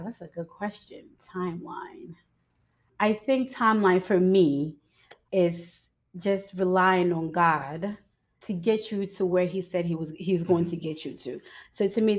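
A woman in her thirties from Ghana, a guest on a faith podcast, talking chattily and a little nervously with frequent giggles. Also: lowish voice. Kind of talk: faith-based podcast interview.

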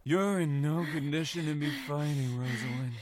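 A man's voice: a little high, normal speed, heavy background noise, lightly acted. A man playing a gleeful, sadistic serial killer in an audio drama, drawling and mockingly polite. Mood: manic glee.

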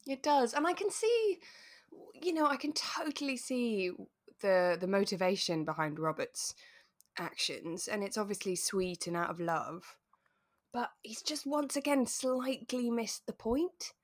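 A woman co-hosting a podcast, chatting in a relaxed, explanatory way with playful asides. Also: on the high side, measured pace, no echo, clean studio sound. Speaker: podcast co-host. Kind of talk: relaxed casual discussion of a radio drama.

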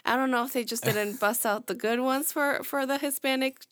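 A woman's voice: high-pitched, moderate pace, fairly bright tone, dry recording, clean studio sound. A woman whose first language is Spanish, chatting casually in a rambling, hesitant way, often repeating herself. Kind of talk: casual chat.

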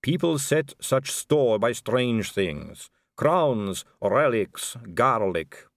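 A man voicing an aristocratic lady vampire in an audiobook, smooth, measured, knowing and condescending. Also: medium pitch, expressive, no echo, clean studio sound, pitched above the performer's own voice. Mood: knowing, weary. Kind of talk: audiobook character dialogue.

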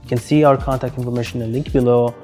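A man's voice: fairly even intonation, fast, warm tone, soft background music. A man giving a friendly, plain sponsor message for a rental company. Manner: friendly, plain sales pitch. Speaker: sponsor, rental house representative.

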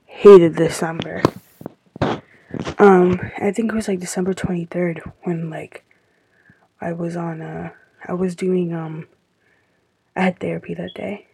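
A teenage girl hosting her own podcast, sounding stuffy and tired, rambling with lots of fillers in a soft, confiding way. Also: on the low side, level tone, unhurried, gravelly, pauses often, breathy edge. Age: teens. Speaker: podcast host. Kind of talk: casual solo podcast talk.

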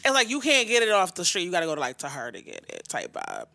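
Animated bright-voiced woman chatting. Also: fairly low pitch, fast, creaky. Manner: animated, slangy, heavy swearing. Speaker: co-host of a talk show. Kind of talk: casual unscripted chat.

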